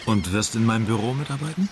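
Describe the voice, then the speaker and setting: An actor playing a matter-of-fact, probing Dutch police adjutant in a radio crime drama. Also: male voice, lowish voice, animated, fast talker. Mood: dry, probing. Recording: clear background noise under dialogue.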